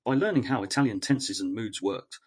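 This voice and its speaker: A man reading a scripted, reflective essay in a measured, earnest way with short, deliberate phrases. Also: fairly low pitch, lively intonation, slightly rough. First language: English. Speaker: narrator of an educational essay series.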